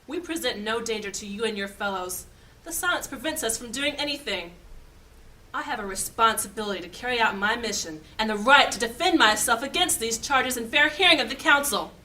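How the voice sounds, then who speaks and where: A woman voicing a stern, high-ranking female mage who gives clipped, authoritative commands in a fantasy audio drama. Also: animated, a little fast, sharp tone. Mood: commanding.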